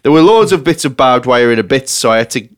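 A man's voice: mid-range voice, lively intonation, quick pace. A man hosting a casual comedy podcast, reading out listener submissions and often breaking into laughter as he goes.

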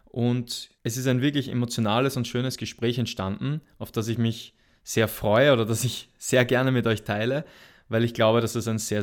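A man hosting a podcast, talking conversationally as he introduces and interviews a guest. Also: medium pitch.

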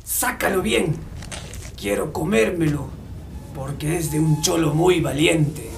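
A cold, boastful older gunman in a radio drama, acted by a man. His voice is high for a man and heavily creaky. He sounds a little away from the mic, with music behind him. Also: slow, recorded from a distance. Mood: cold, menacing.

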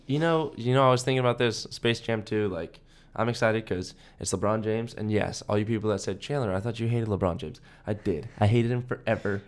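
A man's voice: fairly low pitch, a little fast. A young adult American man chatting casually as a podcast co-host, slangy and emphatic, often repeating himself for emphasis. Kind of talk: casual chat.

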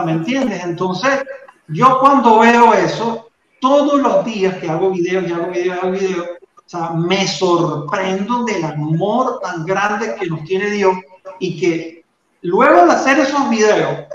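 A Venezuelan man with a Venezuelan accent and a high voice speaks earnestly, stumbling over fillers and restarts. His voice comes through a very echoey room on a dull, muffled line. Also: unhurried, fairly bright tone, slight background noise. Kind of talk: casual faith testimony chat.

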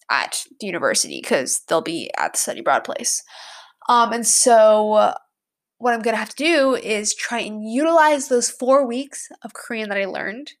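A woman's voice: medium pitch, fairly bright tone. A woman in her twenties, a college student, talking casually and rambling with lots of fillers. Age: 20s. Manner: rambling, many fillers, chatty. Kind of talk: casual chat to camera.

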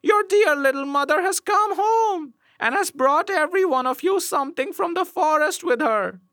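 A villainous storybook wolf, played by a man, putting on a high, falsely sweet motherly voice. The delivery is sly, coaxing and drawn out, with music underneath. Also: lively intonation, moderate pace, bright, forceful tone, no echo, exaggerated voice.

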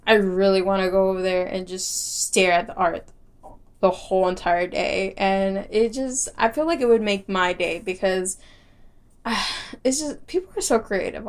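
A woman's voice: medium pitch, smooth. Giggly young Texan woman podcaster. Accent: Texas. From Texas, United States. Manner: rambling, giggly, many fillers and restarts. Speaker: podcast host. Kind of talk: casual solo podcast chat.

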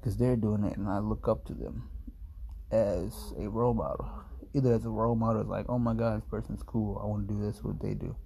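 A man's voice: lowish voice, steady tone, brisk, vocal fry, dark tone, a little hiss or hum, poor audio. A man in his twenties talking to camera in a laid-back, meandering, self-mocking way, sounding sleepy. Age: 20s. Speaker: vlogger. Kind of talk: rambling casual monologue to camera.

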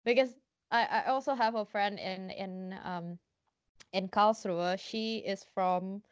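A woman's voice: medium pitch, fairly even intonation, slow pace, dry recording. A young woman from Indonesia chatting casually in English, encouraging and repeating words as she searches for them. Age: young adult. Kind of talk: casual chat.

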